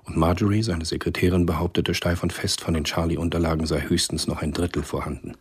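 Very husky, deep male narrator. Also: flat delivery, a little fast. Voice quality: very husky, rough. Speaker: narrator of a radio play. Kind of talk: scripted thriller narration.

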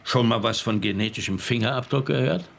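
A man's voice: mid-range voice, fairly quick, creaky, slight background noise, natural voice. An actor playing a stern, sarcastic male police chief in a radio crime drama.

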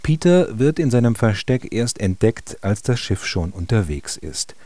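A man reading scripted radio drama narration in a measured storytelling manner. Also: fairly low pitch, sing-song, dark tone, dry recording. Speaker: narrator and announcer.

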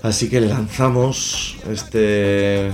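Man, low voice, musician guest, casual radio chat, emphatic. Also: slow pace, soft background music. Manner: lively, emphatic, laughs easily. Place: radio studio.

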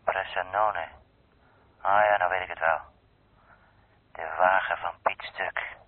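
A man playing a worried, gruff haulage boss in a radio drama. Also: deep voice, steady tone, takes it slowly, no room sound. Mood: grumbling, pondering. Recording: muffled, narrow-band old radio recording.

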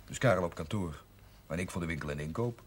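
An actor playing a stubborn, resentful young grocer in a 1970s television drama, blunt and terse. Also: male voice, sits low, expressive, quick pace. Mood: determined. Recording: noisy 1970s television soundtrack.

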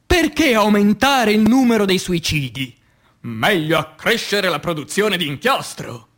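A man reciting poetry as a stage actor, in a dramatic, declamatory and solemn manner with long pauses. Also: high-pitched, very animated, fairly bright tone. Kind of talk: dramatic theatrical poetry recitation for radio theatre.

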